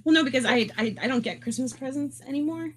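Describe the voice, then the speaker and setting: A woman on a podcast panel sharing a personal story, speaking earnestly and haltingly with many pauses. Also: sits high.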